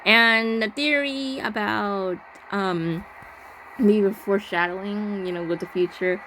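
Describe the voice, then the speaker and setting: A woman's voice: mid-range voice, slow pace, a bit bright, slight background noise, low-quality recording. A woman giving casual, informal playthrough commentary on a game, thinking aloud and often saying "like" as she goes. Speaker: game streamer.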